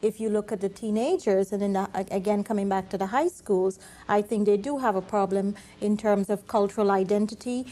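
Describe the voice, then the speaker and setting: Middle-aged woman, measured panel guest. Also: mid-range voice, fairly even intonation, warm tone. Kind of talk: panel discussion.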